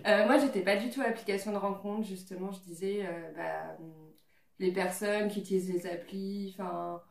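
A woman's voice: mid-range voice, fairly even intonation, normal speed, vocal fry. A woman in her twenties, a podcast guest, telling stories casually with frequent laughs and fillers. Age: 20s. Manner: chatty storyteller, laughs often, many fillers. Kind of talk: casual chat among friends. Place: living room, around a coffee table. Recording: roomy sound from a distant mic.

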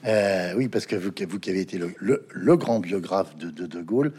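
A man's voice: low-pitched, animated, moderate pace, mellow, breathy edge. A middle-aged man interviewing a guest in a formal manner, with fillers and small hesitations.

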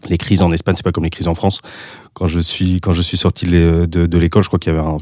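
A man's voice: deep voice, little pitch movement, fast talker, darkish tone, dry recording, studio quality. A Spanish man in his thirties with a light Spanish accent, speaking French as a second language, talking through his career in a casual interview, with many restarts and fillers. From Spain. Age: 30s. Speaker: guest.

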